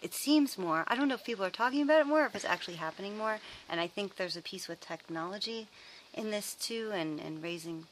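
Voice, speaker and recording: A woman's voice: mid-range voice, expressive, fast talker, a little hiss or hum, low-quality recording. A woman hosting a podcast interview, with an enthusiastic manner and frequent small laughs. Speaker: podcast host. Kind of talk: interview chat. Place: outdoors at a summer conference.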